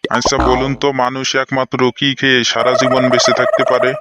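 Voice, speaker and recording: A man's voice: medium pitch, completely flat delivery, fast, bright, forceful tone, lightly acted. A man playing a stern, exasperated job interviewer in a comic cartoon, questioning formally and scolding.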